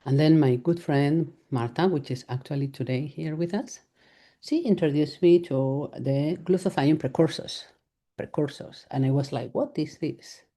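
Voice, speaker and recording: Spanish lady vet giving a talk in english, low warm voice, lots of uhs and restarts. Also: normal speed. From Spain. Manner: hesitant, many fillers and restarts, laughs lightly. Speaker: veterinarian giving a lecture. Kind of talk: live lecture.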